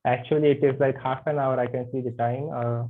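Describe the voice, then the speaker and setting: A man's voice: medium pitch, flat delivery. Man teaching over a tinny call. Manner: halting, with many fillers. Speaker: yoga anatomy teacher. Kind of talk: online class introduction. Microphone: video call. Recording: tinny video call audio.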